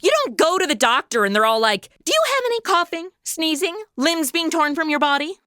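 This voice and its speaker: A woman's voice: sits high, animated, fairly quick, pushed and bright, no room sound, studio quality. A woman playing a sarcastic modern wisecracker in a comedy audio drama, conversational and exclamatory.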